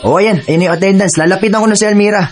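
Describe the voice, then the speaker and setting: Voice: mid-range voice, racing, a little mellow, soft background music, natural voice. A performer playing a shy, hesitant young male college student with a Filipino accent in a radio drama. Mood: resolved.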